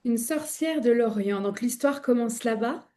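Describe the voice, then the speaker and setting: Bright-voiced woman hosting a podcast. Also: a little high, a little slow. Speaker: podcast host. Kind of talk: podcast welcome and interview questions.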